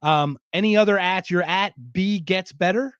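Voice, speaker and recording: A man's voice: high-pitched, normal speed, clear, resonant tone, no echo, lo-fi sound. A man hosting a podcast in casual chat, rambling with many restarts and self-interruptions. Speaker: podcast host.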